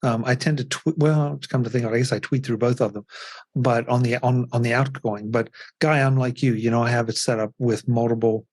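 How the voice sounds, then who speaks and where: A man hosts a casual tech panel over a video call, calmly handing the floor to each guest in turn. His voice sits in a middle register. The sound is dry and close, with no room echo. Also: mid-range voice, brisk. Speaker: host.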